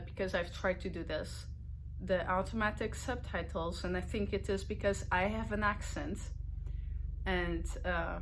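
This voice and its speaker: A young woman with a Portuguese accent chats slowly and casually to the camera as she hosts her knitting video. Her pitch is moderate, and she takes her time, with fillers and small self-corrections. A faint hum of room noise sits behind her. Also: low-quality recording. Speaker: knitting podcast host.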